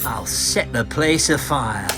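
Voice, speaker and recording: An actor playing a deranged, vengeful failed painter, menacing and ranting in a melodramatic audio comic. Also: male voice, mid-range voice, lively intonation, takes it slowly, over music. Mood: vengeful, raving.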